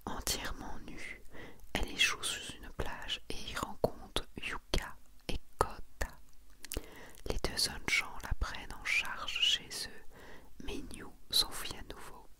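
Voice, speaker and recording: Lady doing asmr, all whispered, breathy n soft, chatty little asides. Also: slow pace. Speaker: host of an ASMR manga collection video. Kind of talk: whispered ASMR presentation, reading summaries and commenting.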